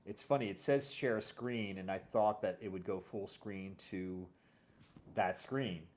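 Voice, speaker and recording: Male stream host, lowish pitch, casual unscripted chat. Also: steady tone, a little slow, a little mellow.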